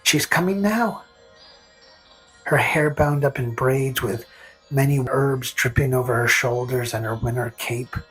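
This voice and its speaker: A man narrating a scripted fantasy story in the second person, with a gentle, whimsical storytelling manner. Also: medium pitch, rough recording. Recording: faint music under narration.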